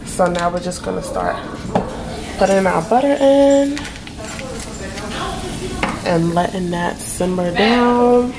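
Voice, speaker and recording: A woman's voice: mid-range voice, slow pace, long pauses, a crowd in the background. A young woman vlogging in her home kitchen, chatting casually and listing ingredients as she cooks. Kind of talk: casual cooking vlog narration. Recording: home kitchen with clear cooking noise. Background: cooking sizzle and kitchen noise.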